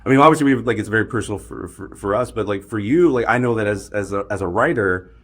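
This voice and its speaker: Male interviewer, Canadian, low-pitched, casual and conversational, circles around his questions haltingly. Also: lively intonation, fairly bright tone. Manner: rambling, halting phrasing.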